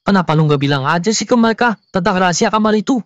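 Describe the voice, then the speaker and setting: A man's voice: high voice, animated, quick pace, no room sound, dull audio. Casting: male actor for protective young coworker in a cartoon, grudge-holding and blunt, closely dry sound. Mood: resentful. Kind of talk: cartoon dialogue, casual slang.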